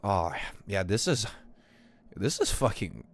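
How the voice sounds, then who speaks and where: A young man doing casual, slangy, expressive live commentary as he streams a game. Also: lowish voice, takes it slowly, no echo, studio quality.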